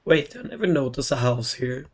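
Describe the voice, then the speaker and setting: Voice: medium pitch, animated, moderate pace, husky. A game streamer muttering casual, offhand reactions to themselves during a playthrough. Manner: offhand, sparse, self-talk.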